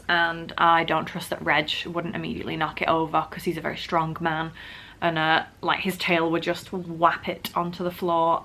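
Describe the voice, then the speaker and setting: A woman's voice: low-pitched, a bit bright, slight background noise. A woman vlogger, sick and wheezy with a bad cough, chatting casually to camera and poking fun at herself. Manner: chatty, self-mocking, many "like" fillers.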